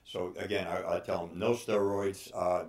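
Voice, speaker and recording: An older man in his sixties speaks in a fairly low voice with a halting, hesitant delivery. He gives informal training advice, pausing often as he goes. The room around him adds a clear echo. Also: level tone, a little mellow. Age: 60s. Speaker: bodybuilder and personal trainer. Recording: roomy, echoing room sound.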